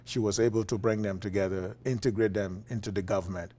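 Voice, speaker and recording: A man with a Liberian accent, a philosophy professor being interviewed, talking in a relaxed way with frequent fillers and chuckles. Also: lowish voice, quick pace. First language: Liberian English. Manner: chuckling, many uh fillers and restarts. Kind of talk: conversational interview.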